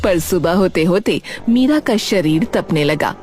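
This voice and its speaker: A woman narrating a scripted cartoon story in an expressive storytelling style. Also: on the low side, measured pace, soft background music.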